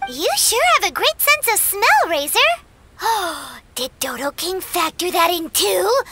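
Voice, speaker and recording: A woman voicing a tiny, excitable, childlike fairy companion in an anime video game, with a squeaky, exclamatory delivery. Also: a little slow, a little hiss or hum, full character voice. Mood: curious, chatty. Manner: exclamatory, refers to self in third person. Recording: loud background music.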